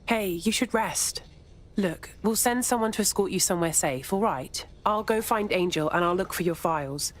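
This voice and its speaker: A woman's voice: fairly low pitch, moderate pace, lightly acted. A woman playing a caring, earnest resistance operative in a game, in natural conversational delivery. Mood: concerned. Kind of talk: game cutscene dialogue.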